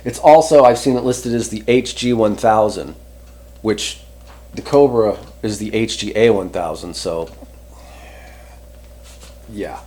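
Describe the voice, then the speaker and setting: A man's voice: fairly low pitch, mellow. Casual man talking through product tests. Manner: conversational, rambling, often pausing to rephrase. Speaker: host reviewing CB radio antennas. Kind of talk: casual unscripted product talk.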